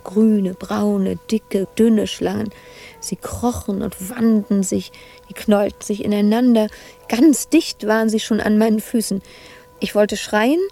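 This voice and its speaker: An actress playing an anguished, brooding woman sculptor confined in an asylum in a radio drama, with a husky, intimate delivery that dips into whispers. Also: mid-range voice, warm tone. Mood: rising dread. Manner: intimate monologue, dropping into breathy whispers.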